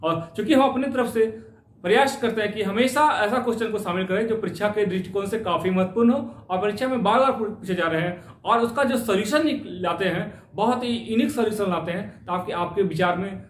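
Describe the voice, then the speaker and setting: A man's voice: sits high, recorded from a distance, a little hiss or hum. A man teaching an exam-prep maths lesson, working through each problem step by step and repeating phrases as he goes. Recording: echoey room, voice off-mic.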